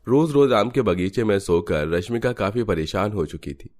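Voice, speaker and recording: A man's voice: lowish voice, lively intonation, moderate pace, a little mellow. A man narrating a scripted cartoon family story.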